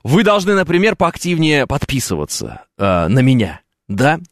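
A man's voice: medium pitch, huge pitch swings, moderate pace, no room sound, clean studio sound. A man reading his live chat aloud and answering it, wry and joking, with many hesitant fillers. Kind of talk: casual live stream chat. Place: at home, on camera.

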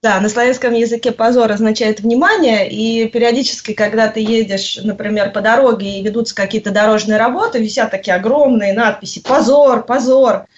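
A woman answering interview questions, pausing often and searching for her words. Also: a little high, fairly even intonation, a little hiss or hum. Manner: hesitant, searching for words with frequent pauses. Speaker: guest. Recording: muffled lo-fi audio.

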